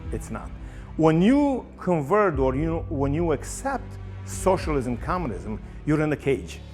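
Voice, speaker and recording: A man's voice: on the high side, big pitch swings, measured pace, a little mellow, soft background music. A man from Romania, giving an emphatic personal testimony and repeating phrases for force.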